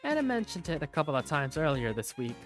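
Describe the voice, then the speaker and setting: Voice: medium pitch, very animated, quick pace, natural voice. A performer playing a bookish, teasing student who narrates school life in a visual novel, with music behind. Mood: pleased, wry. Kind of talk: first-person visual novel narration and dialogue.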